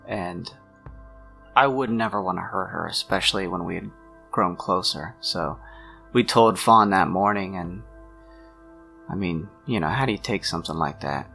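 Man playing halting, guilt-ridden young guy, gravelly. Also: sits low, sharp tone, full character voice. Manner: halting, hesitant, trailing off.

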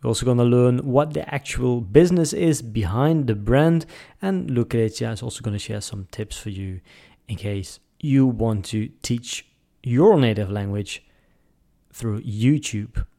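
A man's voice: mid-range voice, a little slow, vocal fry, dark tone. A man hosting a podcast, introducing a guest and reading a sponsor message in an upbeat, promotional way. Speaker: podcast host. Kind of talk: podcast interview and sponsor read.

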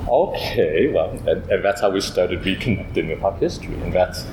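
A man's voice: deep voice, animated, normal speed. A man speaking on a panel, rambling and hesitant with many fillers. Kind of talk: reflective panel talk. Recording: roomy echo with background noise.

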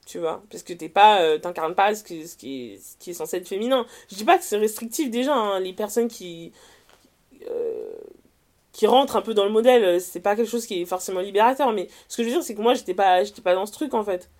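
A young adult woman with a French accent from France speaking as an interview guest, in casual, slangy personal testimony, animated and often correcting herself mid-sentence. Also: mid-range voice, brisk. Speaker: interview guest, writer and columnist.

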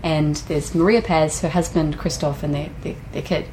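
A woman's voice: low voice, fairly even intonation, normal speed, a little mellow, a little hiss or hum, low-quality recording. A woman co-hosting a travel podcast who talks in a chatty, enthusiastic way. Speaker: podcast co-host. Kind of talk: casual travel chat.

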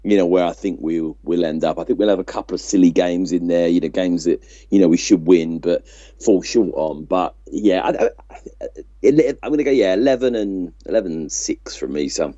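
Casting: man, deep voice, conversational sports podcast co-host, dry and slightly lo-fi sound. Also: normal speed, mellow, no room sound. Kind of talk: casual sports chat, giving opinions.

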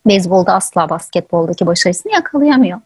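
A woman's voice: fairly low pitch, lively intonation, a little fast, husky, no echo, soft background music. A woman interview guest explaining her views conversationally, in an earnest, reflective way with emphatic repetition. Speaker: interview guest, author and researcher.

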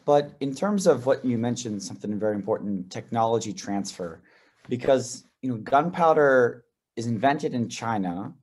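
A man's voice: mid-range voice, lively intonation, normal speed, darkish tone, husky. A man hosting an interview podcast, posing long conversational questions with frequent "you know" fillers. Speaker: podcast host.

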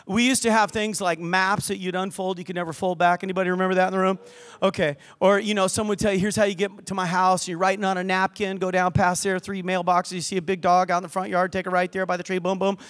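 An older man preaching a lively sermon in church, mixing scripture with jokes and stories. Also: sits high, fast talker. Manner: lively, joking preacher with asides to the crowd. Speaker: pastor. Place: church hall with balcony.

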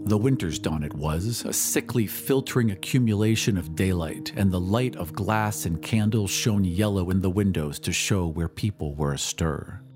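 A man narrating a story as a scripted dramatic reading, in a measured, solemn storytelling manner. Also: low voice, a little mellow. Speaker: podcast host and narrator. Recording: music behind the voice. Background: music.

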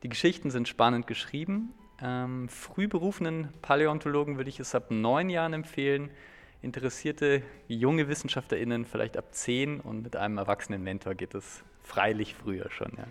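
A man's voice: medium pitch, normal speed, in a large hall. An Austrian man co-hosting a podcast, talking through a book review in a thoughtful, slightly hesitant conversational way.

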